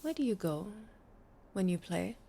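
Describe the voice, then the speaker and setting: An actress playing a concerned lover in an audio drama, speaking in a natural, conversational way that gently probes and needles. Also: female voice, on the low side, sing-song, unhurried, vocal fry, a little hiss or hum, exaggerated voice. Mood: curious, teasing. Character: concerned, probing female lover of a withdrawn musician.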